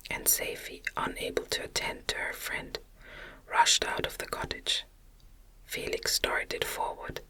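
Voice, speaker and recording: Female ASMR audiobook reader for gothic literature; fully unvoiced, breathy whisper, very bright and sibilant, gentle and slow in delivery. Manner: slow, gentle, deliberate phrasing.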